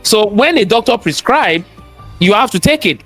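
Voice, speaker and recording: A man with a strong Nigerian accent and a high voice speaks as an interview guest. He talks in a motivational, sermon-like way, repeating key phrases for emphasis and throwing out rhetorical questions. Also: animated, moderate pace, fairly bright tone, soft background music. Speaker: guest, cybersecurity expert and entrepreneur. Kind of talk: interview conversation, motivational talk.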